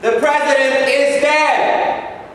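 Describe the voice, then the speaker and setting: A man's voice: extremely high pitch, fairly even intonation, slow pace, fairly bright tone, pitched above the performer's own voice, full character voice. A man voicing a newspaper seller calling out an extra edition in a staged reading. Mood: loud, crying out. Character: newsboy hawking extra editions, loud and drawn-out. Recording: very echoey hall, far off, faint noise.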